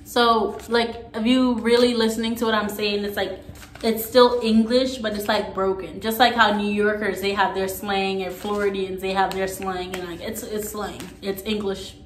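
A young woman with a faint Jamaican accent chats casually to her camera, throwing in sassy asides. Her voice sits at an ordinary middle pitch. The small room at home adds a noticeable echo. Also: fairly bright tone. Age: young adult. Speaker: vlogger. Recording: echoey room.

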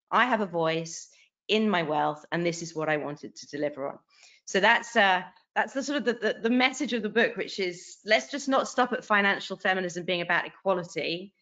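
Earnest woman on a video call. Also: on the low side. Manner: animated, earnest, restarts phrases. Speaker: author and guest. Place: bright home room. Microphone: video call webcam. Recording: dull lo-fi video call audio.

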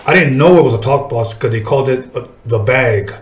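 A man's voice: medium pitch, a little fast, reverberant. A middle-aged man hosting a casual interview, talking informally with plenty of swearing. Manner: informal, profane, reminiscing. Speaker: podcast host, music artist. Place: small podcast studio, indoors. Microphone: desk podcast microphone.

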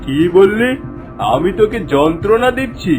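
A scheming cartoon landlord with a high-pitched voice. He is lecherous, haughty and menacing, and sounds self-righteous as he lords it over villagers, with faint music underneath. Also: animated, normal speed. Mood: offended, menacing. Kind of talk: cartoon voice acting.

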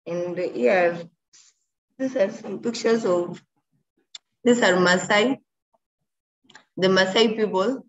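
Woman reading slides slowly on a video call, muffled lo-fi sound, bit of hiss. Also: mid-range voice. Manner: slow, listing items with pauses. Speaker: student presenter. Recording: dull lo-fi call audio with faint noise.

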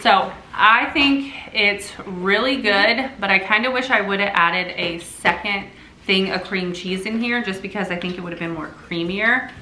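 A woman's voice: medium pitch, measured pace, pushed and bright, low-quality recording. A woman in her thirties, a mom and vlogger, talking casually and upbeat while cooking at home, laughing often. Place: home kitchen, indoors.

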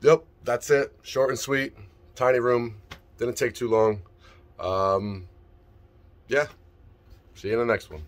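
A man in his thirties casually vlogging a tour of his tiny ship cabin, relaxed and rambling with lots of ums, filmed on a phone held at arm's length. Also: mid-range voice, takes it slowly, pauses often. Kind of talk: casual vlog room tour. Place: small crew cabin on a cruise ship. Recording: music playing under the voice. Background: background music.

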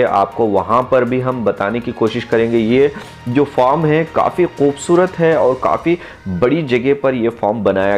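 A man's voice: medium pitch, highs cut off. A man in his thirties hosting a casual vlog outdoors at a farm, speaking directly to his viewers in a friendly, enthusiastic way. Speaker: vlogger touring a goat farm.

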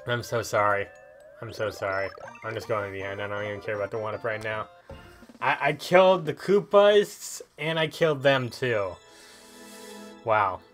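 A man's voice: lowish voice, moderate pace, a bit bright. A man giving casual gameplay commentary as a video host, chatting and thinking aloud as he plays. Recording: game music behind the voice. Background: video game music.

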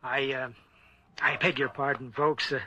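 A man's voice: mid-range voice, little pitch movement, a little slow, noisy background, natural voice. A man playing a courteous police officer in a radio drama, formal, hesitant and apologetic.

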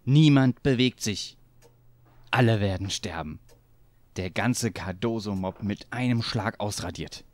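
A man plays a cocky mobster boss in a radio drama. His voice is lowish and his delivery slow and theatrical. Noise is clearly audible behind him. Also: natural voice. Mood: cocky menace. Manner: swaggering, theatrical. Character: cocky, ruthless male mafia gang boss. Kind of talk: radio play acting.

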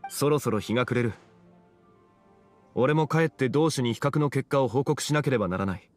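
Man playing a composed, dignified, wise gentleman. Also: mid-range voice, soft background music, close to an everyday voice. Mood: calm, courteous. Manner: measured, formal, courteous. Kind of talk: Japanese video game voice acting.

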